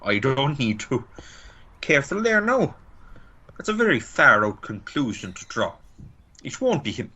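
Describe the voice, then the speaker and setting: A man's voice: mid-range voice, very animated, measured pace, long pauses, sharp tone, husky, lo-fi sound, exaggerated voice. A man with an Irish accent playing a complacent, dismissive small-town police officer in an amateur radio play. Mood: placating, officious. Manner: placating, hesitant, officious.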